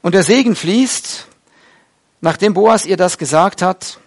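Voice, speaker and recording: Earnest man preaching, slightly high voice. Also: measured pace. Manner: earnest, explanatory, frequent fillers. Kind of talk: sermon, Bible exposition.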